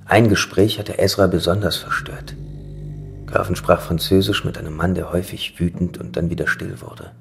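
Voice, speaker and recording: A man narrating a gothic horror audio drama in a grave, ominous, measured way. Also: sits low, steady tone, vocal fry, faint music behind.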